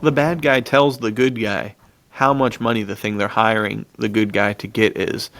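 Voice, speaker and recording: Low-pitched man, creaky voice, casual podcast co-host, slangy and laid-back. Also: fairly even intonation, normal speed, a bit bright. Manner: laid-back slangy talk, laughs easily. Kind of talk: casual film discussion, chatty retelling of plots.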